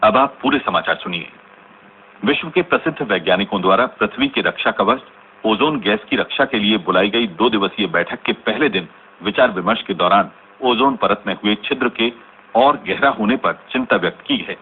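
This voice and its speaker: Radio drama bulletin: male actor as formal Indian newsreader, measured, slightly noisy narrowband broadcast. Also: lowish voice, lively intonation, close to an everyday voice. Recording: tinny narrowband radio recording with noise.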